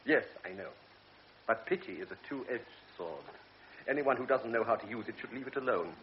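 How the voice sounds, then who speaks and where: A man's voice: medium pitch, expressive, moderate pace, natural voice. Guy doing a serious old doctor, stern and preachy, radio play, dull sound. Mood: grave. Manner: grave, lecturing. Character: grave older male doctor, stern and measured. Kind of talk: radio drama acting. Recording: dull old radio recording with faint hiss.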